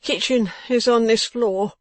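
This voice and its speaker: A woman voicing a cowardly, self-pitying young man in pain, with wailing, groaning, drawn-out complaints for an audiobook of a Victorian novel. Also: a little high, fairly even intonation, dry recording, pitched above the performer's own voice, natural voice. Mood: pleading, suffering.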